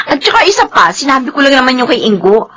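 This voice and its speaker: A sassy young woman in a radio drama, with a high voice that swings widely. She is blunt and mocking, quick to scorn and tease. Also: sing-song, pushed and bright, slight background noise, exaggerated voice. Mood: mocking, playful. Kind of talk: Filipino radio drama acting.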